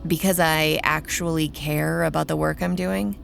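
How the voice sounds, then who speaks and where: Low, gravelly, sardonic young vampire woman. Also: steady tone, moderate pace, a little hiss or hum. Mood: sarcastic, defensive. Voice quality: gravelly, creaky. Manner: dry, sarcastic delivery. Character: sardonic young female vampire researcher, foul-mouthed and stubborn. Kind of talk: audio drama acting and first-person narration.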